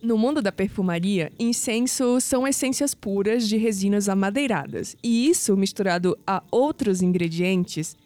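Lady playing a curious young brazilian woman in an audio drama, warm mid voice, coy and a bit sceptical. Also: mid-range voice, normal speed, few pauses, lightly acted. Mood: poised, explaining.

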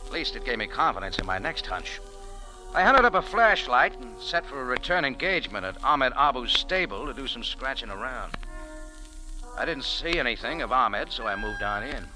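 A man's voice: mid-range voice, big pitch swings, normal speed, natural voice, poor audio. Guy acting tough wry old-time radio detective, clipped slangy talk, lots of up and down, music behind. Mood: tense, watchful. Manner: hard-boiled, clipped, sardonic slangy delivery. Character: tough, wry cafe owner turned amateur sleuth in Cairo. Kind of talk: 1940s radio detective drama acting and narration. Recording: vintage 1940s radio recording with music.

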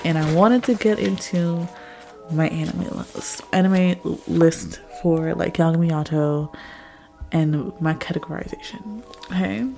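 A young woman with a raspy, slightly hoarse voice from a cold, chatting casually to her listeners as a podcast host, rambling with lots of fillers. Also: fairly low pitch, a little mellow.

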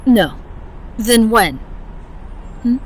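A woman's voice: a little high, expressive, very slow, darkish tone, a little hiss or hum. A woman playing a hurt, defensive young woman who speaks in a defiant way.

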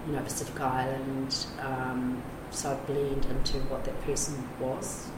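Middle-aged New woman, very deep voice, frank and rambling, echoey room with noise. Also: flat delivery, a little slow. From New Zealand. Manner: frank, rambling, many fillers and restarts. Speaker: addiction recovery navigator. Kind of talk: candid interview talk.

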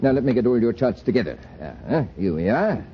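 An actor playing a breezy, bumbling doctor in an old radio comedy sketch. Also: male voice, mid-range voice, big pitch swings, fairly quick, close to an everyday voice. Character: breezy, bumbling adult male doctor reading charts. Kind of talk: 1940s-50s radio comedy acting. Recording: dull old radio, faint noise.

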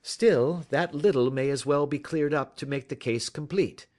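A man playing a shrewd, self-assured gentleman detective in an audiobook, speaking precisely with suave, gentle mockery. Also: on the high side, moderate pace, voice pushed up, close to an everyday voice. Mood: assured, accusing.

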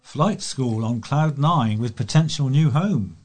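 A man's voice: medium pitch, animated, unhurried, darkish tone. A British man reading scripted local news as a volunteer newsreader.

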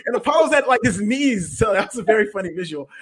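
A man's voice: sits high, animated, quick pace, a bit bright. A man co-hosting a casual wrestling review chat, easygoing and agreeable as he recaps matches. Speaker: wrestling show co-host.

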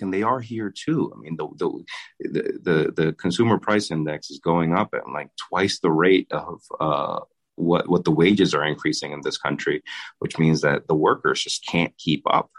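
Young male mayor from the Dominican Republic, extremely deep voice, halting casual answers. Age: young adult. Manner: halting, with many restarts and repeated words. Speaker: mayor and city commissioner.

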